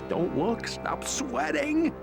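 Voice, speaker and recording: An actor playing a nervy, irritable mobster in a video game, agitated and exclamatory. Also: male voice, very high-pitched, big pitch swings, slow, gravelly, heavily acted. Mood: tense, nervous. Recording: music behind the voice.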